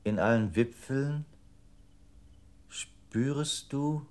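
An actor playing an obedient computer unit in a radio play, reciting in a formal, measured and exact way. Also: male voice, mid-range voice, darkish tone.

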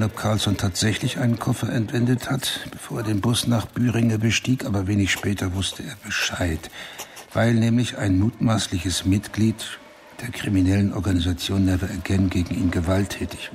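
An actor playing a methodical police inspector in a German radio play, dictating case notes in an official, measured manner. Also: male voice, fairly low pitch, fairly even intonation, a little hiss or hum, natural voice. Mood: dry, factual.

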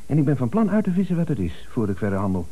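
An actor playing an earnest, stubborn office employee with a hesitant, pedantic way of talking, in radio comedy. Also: male voice, medium pitch, sing-song, very fast, breathy edge, phone-quality sound. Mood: puzzled, suspicious. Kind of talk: 1970s Dutch radio comedy acting.

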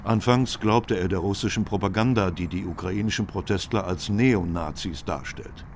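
A man reading scripted documentary narration in a measured, even way. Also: sits low, slight background noise.

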